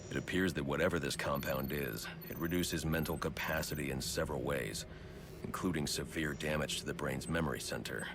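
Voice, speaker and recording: A man's voice: a little fast, noisy background. An actor playing a grim, brooding male vigilante detective in a video game, speaking in terse, clipped lines. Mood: analytical. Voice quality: deep gravelly. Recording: game soundtrack music behind.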